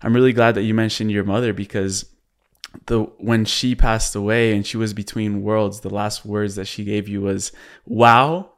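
A man's voice: fairly low pitch, flat delivery, moderate pace, clear, resonant tone. A man hosting a conversational interview, reflective and building his points with repeated phrases. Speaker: podcast host, interviewer.

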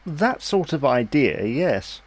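Lively husband, gently persuasive played by a man. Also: on the high side, slow pace, full character voice. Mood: reassuring. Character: Harry, patient, reasonable husband and new father, coaxing. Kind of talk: naturalistic domestic audio drama acting.

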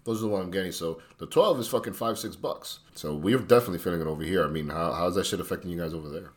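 A man's voice: low voice, rapid-fire. A man co-hosting a podcast, chatting loosely and playfully with plenty of swearing. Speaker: podcast co-host. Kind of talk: casual podcast chat.

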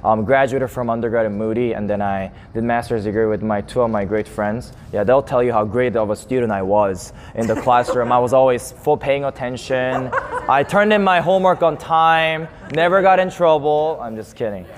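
A man's voice: mid-range voice, moderate pace. A man in his twenties, raised in Japan after birth in South Korea, giving a chatty, self-deprecating introduction as a guest preacher. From born in South Korea, grew up in Japan. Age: 20s. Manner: friendly, self-deprecating, laughs often, many fillers. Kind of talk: casual, chatty sermon introduction. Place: church sanctuary. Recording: long church reverb, faint noise.

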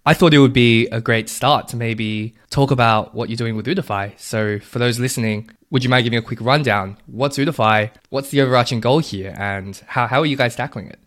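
A man's voice: medium pitch, a little fast, few pauses, fairly bright tone. A man hosting a podcast interview, introducing his guest and asking upbeat, friendly questions. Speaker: podcast host.

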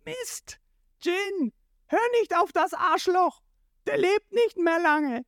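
A narrator voicing a brash, excitable young male pirate hero who shouts and curses in a storybook retelling. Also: very high-pitched, takes it slowly, no echo, studio quality, pitched above the performer's own voice, exaggerated voice. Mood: defiant, shouting. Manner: shouting, frantic.

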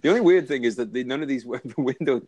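A Welsh man guesting on a podcast over a remote call, talking in a relaxed, self-deprecating way with halting restarts. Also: medium pitch. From Wales. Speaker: guest, soldier and author. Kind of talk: casual interview chat.

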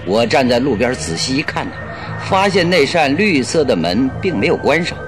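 Keen detective, radio drama, expressive recounting, animated intonation, light music behind. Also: male voice, mid-range voice, moderate pace. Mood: observant, composed. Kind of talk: Chinese radio drama acting, storytelling.

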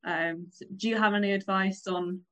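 A woman's voice: mid-range voice, steady tone, moderate pace, fairly bright tone. A seventeen-year-old girl from a youth theatre, asking earnest, slightly hesitant questions about drama school. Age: teens.

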